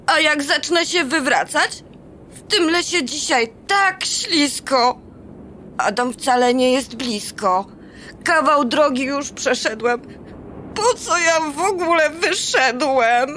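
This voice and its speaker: A woman's voice: high-pitched, lively intonation, takes it slowly, pushed and bright, a little hiss or hum, full character voice. A woman voicing a small, homesick young male elf in a rhyming children's radio play, fretful and tearful. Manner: fretful, whiny rhyming complaints.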